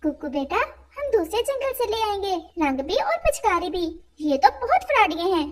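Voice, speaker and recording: A woman voicing a gentle, wise mother sparrow in a cartoon, speaking in a soothing, explanatory way. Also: very high-pitched, big pitch swings, moderate pace. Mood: disapproving.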